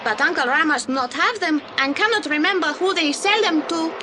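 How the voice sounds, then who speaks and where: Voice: high voice, normal speed, background noise, natural voice. An actor playing an earnest, polite young boy with a Spanish accent and broken English in an audio play. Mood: earnest, eager. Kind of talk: 1980s audio play acting.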